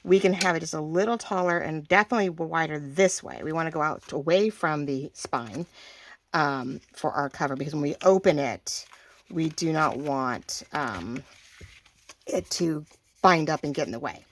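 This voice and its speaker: Woman, craft tutorial host, slightly hoarse, gravelly edge, lowish voice, chatty. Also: normal speed. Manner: chatty, thinks aloud while working, many fillers and throat clearing.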